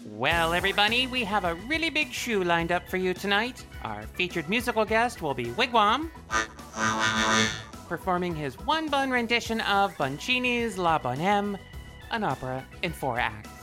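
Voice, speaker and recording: A woman's voice: mid-range voice, very animated, moderate pace, over music. A woman playing a petulant, showy rabbit android who hosts a show in an audio drama, with sarcastic flourishes. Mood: showy, sulky. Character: bratty theatrical female rabbit android show host.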